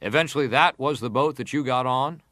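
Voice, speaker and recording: An actor playing an insistent senator who leads a formal inquiry, questioning in a pressing, formal manner. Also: male voice, mid-range voice, fairly quick, sharp tone, dry recording, studio quality, lightly acted. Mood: probing, formal. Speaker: actor reading testimony. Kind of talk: dramatized reading of hearing testimony.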